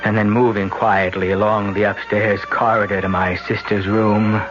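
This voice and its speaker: A man's voice: lowish voice, normal speed, loud music behind the voice, lightly acted. An actor playing a frightened, scheming young man in a 1970s radio drama, who also narrates in a grim tone. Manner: pleading as a character, calm and grim as narrator. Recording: dull vintage radio recording, faint hiss.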